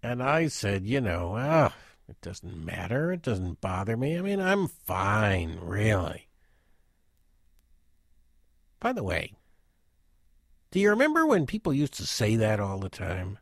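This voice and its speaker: An actor playing a wry, self-deprecating intellectual who rambles hesitantly through a radio play monologue. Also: male voice, fairly low pitch, wildly swooping pitch, a little slow, gravelly, no echo, clean studio sound, heavily acted. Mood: wry, reminiscing. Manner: hesitant, digressive, chuckling asides. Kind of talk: conversational dramatic monologue.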